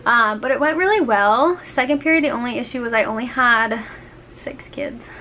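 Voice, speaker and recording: A woman's voice: on the high side, normal speed. A young woman in her twenties, a teacher chatting to camera in a small bedroom, filling her talk with "like" and "um", her voice a little scratchy and dry. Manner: chatty, lots of fillers, animated hands. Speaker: teacher vlogger. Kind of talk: casual chat to camera. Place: small bedroom with laptop, indoors. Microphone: handheld camera at arm's length.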